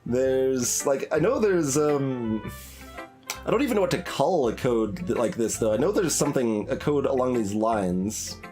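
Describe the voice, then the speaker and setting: Music plays behind a male streamer with a mid-pitched voice. He comments casually on his game, musing aloud and often hesitating as he works things through. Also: lively intonation, a little slow, a little mellow. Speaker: gaming streamer. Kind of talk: casual live playthrough commentary.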